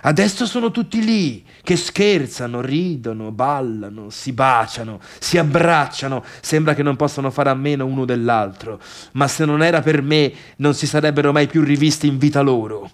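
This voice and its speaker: An actor playing a bitter, sarcastic failed pianist turned music critic in a theatrical radio monologue, complaining colloquially in a Tuscan accent. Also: male voice, mid-range voice, normal speed, few pauses, natural voice. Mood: aggrieved, scornful. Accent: Tuscan Italian.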